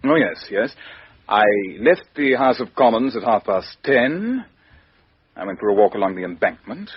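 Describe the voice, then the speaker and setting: Husky, pompous English politician. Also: male voice, mid-range voice, big pitch swings, normal speed. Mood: affronted, evasive. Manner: pompous, formal, hesitant. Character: stuffy, self-important male politician, guarded and easily affronted. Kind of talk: radio drama acting. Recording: roomy, dull lo-fi radio recording.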